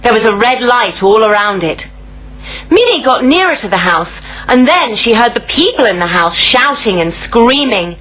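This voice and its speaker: Expressive, animated woman reading a children's story. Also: a little high, normal speed, a little hiss or hum, poor audio. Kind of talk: scripted children's story narration.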